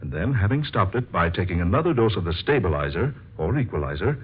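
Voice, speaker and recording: Radio drama scientist: low voice, grave, deliberate, resolute; muffled vintage sound. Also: male voice, sing-song, a little hiss or hum. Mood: precise, assured. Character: determined male scientist shrinking himself with his potion. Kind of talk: old-time radio drama acting. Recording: dull lo-fi old radio recording, music.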